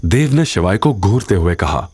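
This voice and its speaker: A man's voice: low voice, big pitch swings, moderate pace, a little mellow, husky, no room sound, studio quality. A man giving dramatic scripted narration as the storyteller of an audio drama.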